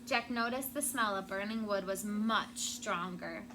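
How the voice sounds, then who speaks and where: A woman's voice: medium pitch, a little slow. A woman schoolteacher reading a storybook aloud to children. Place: classroom. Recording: clear background noise.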